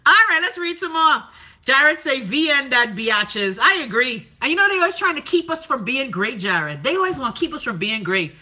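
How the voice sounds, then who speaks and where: A woman's voice: high-pitched, moderate pace. A Bahamian woman hosting a livestream, chatting casually and sassily while reading out viewer comments, with plenty of profanity. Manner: sassy, profane, animated storytelling. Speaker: livestream host.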